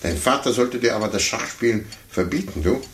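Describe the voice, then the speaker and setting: An actor playing a fussy, condescending older gentleman in a 1950s radio play, muttering to himself and talking down patronizingly. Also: lowish voice, lively intonation, brisk. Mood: astonished, patronizing. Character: condescending, fussy, self-important older gentleman chess amateur. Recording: roomy, noisy 1950s radio recording.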